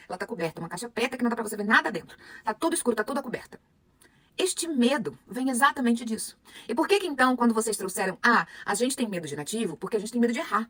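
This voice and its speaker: A young Brazilian woman teaching her followers, animated and full of rhetorical questions to the audience. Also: on the high side, dry recording. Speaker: Spanish teacher. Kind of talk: motivational lesson to followers.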